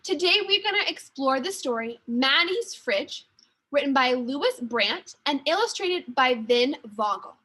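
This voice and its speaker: A woman teacher reading a children's story aloud with expressive, deliberate narration. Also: sits high, low-quality recording.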